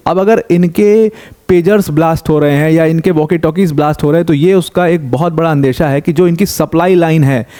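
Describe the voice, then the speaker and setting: A man's voice: fairly high pitch, dark tone. A young man giving a conversational current affairs explainer, asking rhetorical questions and mixing in English terms. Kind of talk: explainer news commentary.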